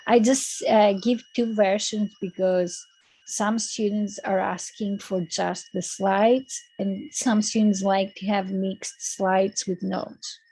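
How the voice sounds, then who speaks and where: A woman lecturer with a Greek accent giving online class announcements, repeating words and filling pauses with um and okay. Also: medium pitch, steady tone, warm tone, a little hiss or hum, rough recording. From Greece. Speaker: university lecturer. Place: recorded video call. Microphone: computer mic on a video call.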